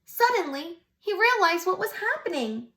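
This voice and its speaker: A woman teacher reads a storybook aloud to little children in a high, bright voice. Her pitch swings up and down with lively expression, and she stops to ask the kids gentle questions. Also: moderate pace. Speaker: preschool teacher. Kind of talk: reading a picture book aloud to young children.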